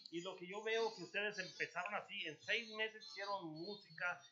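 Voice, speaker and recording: A man's voice: sits high, normal speed, sharp tone, lo-fi sound. A man hosting a music talk show in a TV studio, chatty and joking as he hypes up the audience and questions his guests. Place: TV studio set, indoors.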